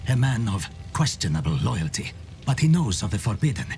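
A man playing an urgent, confiding advisor in a dark fantasy game cutscene. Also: on the low side, big pitch swings, fairly quick, a little hiss or hum. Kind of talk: dramatic video game cutscene acting.

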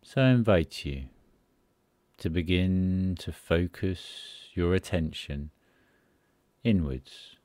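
A deep-voiced man guides a relaxation meditation at an extremely slow pace. His delivery is soothing and measured, with short phrases set apart by pauses. The tone is dark and mellow. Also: animated, no echo. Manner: soothing, unhurried, pausing between short phrases.

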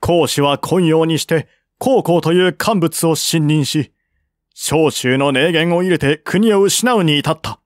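A man's voice: fairly high pitch, a little slow, dry recording, studio quality, natural voice. A man reading as a proud, loyal general. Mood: indignant, proud. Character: proud, loyal male general of a fallen dynasty.

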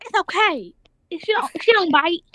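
A woman's voice: extremely high pitch, animated, normal speed, fairly bright tone, dry recording. A woman playing a feisty, stubborn elderly grandmother in an improvised online roleplay, sassy and combative. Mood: defiant.

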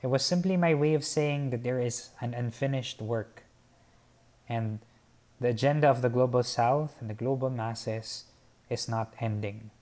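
A man's voice: medium pitch, a little mellow. Earnest young man explaining his painting. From Philippines. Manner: measured, earnest, formal wording. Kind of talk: reflective artist talk. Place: covered patio by a garden, outdoors.

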